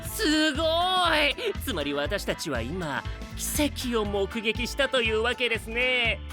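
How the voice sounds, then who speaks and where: A man's voice: very high-pitched, big pitch swings, takes it slowly, heavily acted. A man playing a cheerful, polite and easygoing young knight in a comedic audio drama, with an airy, playful manner. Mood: cheery. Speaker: voice actor. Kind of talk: animated comedic character banter. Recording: studio voice over prominent background music.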